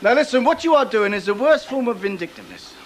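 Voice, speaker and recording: Bossy factory gaffer, highish voice that swings all over, sarcastic. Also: male voice, very animated, fast talker, background noise. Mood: scolding. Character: brusque middle-aged male factory boss. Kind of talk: 1980s TV soap acting. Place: factory floor TV set.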